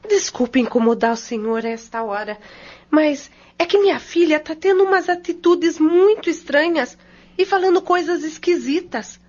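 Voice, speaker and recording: A woman's voice: high-pitched, animated, moderate pace, darkish tone, dull audio. A woman with a Brazilian accent playing a worried, humble mother who talks in a plain, apologetic way in an audio drama. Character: worried, humble mother seeking help for her daughter.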